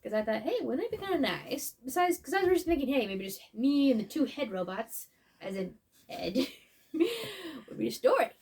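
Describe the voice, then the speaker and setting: A woman's voice: high voice, sing-song, brisk, a little mellow, husky, exaggerated voice. A woman playing a chatty, silly robot who hosts a playful children's story time with sing-song exclamations and asides. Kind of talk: children's read-along with asides.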